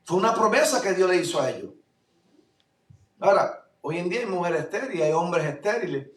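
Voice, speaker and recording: High-voiced Puerto Rican pastor preaching. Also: male voice, animated, a little fast. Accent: Puerto Rican Spanish. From Puerto Rico. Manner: fervent preaching, swings between loud declarations and low asides. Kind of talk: sermon. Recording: echoey room, voice from far off mic.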